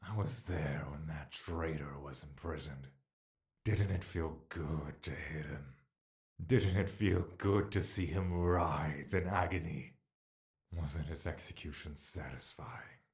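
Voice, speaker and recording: Audio drama role: an age-old malevolent spirit, cavernously deep and unhurried, taunting and tempting with theatrical menace. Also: male voice, darkish tone, heavily acted. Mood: seductive, goading. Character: ancient male spirit trapped within a mortal, scornful, bloodthirsty, tempting.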